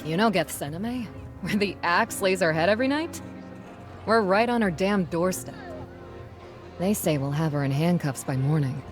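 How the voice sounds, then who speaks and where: An actress playing a spirited young rebel schoolteacher in a video game, plain-spoken, folksy and earnest. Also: female voice, fairly low pitch, expressive, normal speed, faint music behind, close to an everyday voice. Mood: brisk, upbeat. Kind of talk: video game character dialogue.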